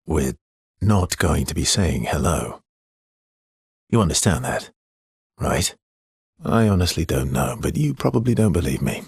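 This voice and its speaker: An actor playing a world-weary, guarded swordsman in a video game, speaking in an understated, conversational way with a husky, gravelly voice and a dry, wry affection. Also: a bass voice, very animated, a little slow, dry recording, exaggerated voice. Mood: subdued, reflective. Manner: understated, hesitant, dryly teasing. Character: world-weary adult male expedition swordsman, guarded but wryly affectionate.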